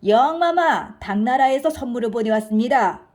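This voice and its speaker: Woman putting on an excitable, high-pitched storybook courtier. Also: moderate pace, lightly acted. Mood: deferential, excited. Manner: exclamatory, archaic courtly address. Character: excitable, deferential male royal courtiers of Silla.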